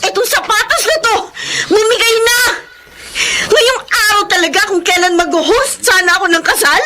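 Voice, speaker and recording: An actress playing a young, long-suffering Filipino elder sister in a Tagalog radio drama, with an expressive, emotional delivery. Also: female voice, very high voice, normal speed, slight background noise. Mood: hurt, angry.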